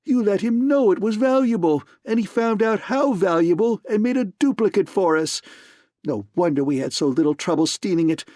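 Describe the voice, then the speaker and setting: A man voicing a shrill, spluttering, tearful man in an audiobook reading. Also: expressive, normal speed, mellow, dry recording, studio quality. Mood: frantic.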